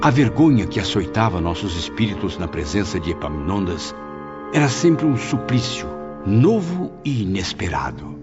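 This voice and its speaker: Low-voiced man, solemn, animated narration. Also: normal speed. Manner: solemn, emotive, literary delivery. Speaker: first-person audiobook narrator. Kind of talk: dramatic scripted narration. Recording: dull lo-fi with music bed. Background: clear background music.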